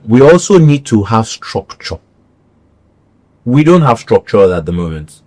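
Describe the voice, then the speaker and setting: A man's voice: fairly low pitch, very animated, unhurried, a little mellow. A middle-aged Nigerian man with a Nigerian accent, an actor speaking earnestly as a guest in an interview and repeating phrases for emphasis. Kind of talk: conversational interview.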